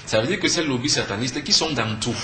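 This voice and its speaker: A man with a Cameroonian French accent speaks in a sharp, bright voice at a middle pitch. He explains emphatically, posing questions and answering them like a lecturer. The sound is echoey, with faint noise behind him. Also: expressive, fast. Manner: didactic, emphatic, asks and answers own questions. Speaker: spiritual teacher and conference speaker, interview guest. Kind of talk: earnest explanatory talk in a TV interview.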